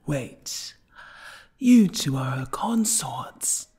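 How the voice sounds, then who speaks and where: A woman voicing a stern, formal monster palace guard in an ASMR roleplay, husky and commanding. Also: low voice, sing-song, drawn-out words, warm tone, exaggerated voice. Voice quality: husky, breathy.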